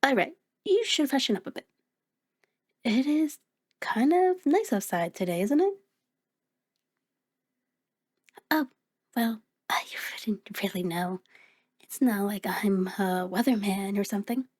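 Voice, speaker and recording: A woman voicing a kind, playful mirror reflection in an ASMR roleplay, speaking gently and encouragingly with hesitant pauses. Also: medium pitch, lively intonation, husky. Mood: shy, playful. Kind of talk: ASMR roleplay, addressing the listener.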